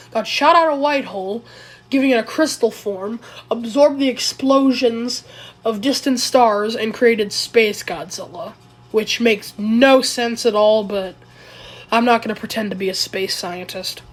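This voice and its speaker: A woman who is sick and raspy, talking through a casual commentary video in a rambling, hesitant way and often correcting herself. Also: fairly high pitch. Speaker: video creator.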